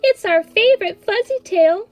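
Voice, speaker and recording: A woman's voice: extremely high pitch, big pitch swings, moderate pace, fairly bright tone, faint music behind, voice pushed up, heavily acted. Woman as squealy eager little girl kitten. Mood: eager, gushing. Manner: gushing, eager.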